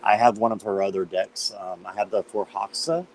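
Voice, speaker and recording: A man with a slight cold and a hoarse voice, chatting casually as he hosts a live tarot stream and greets viewers by name. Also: on the low side, fairly even intonation, moderate pace, a little mellow, slight background noise, poor audio.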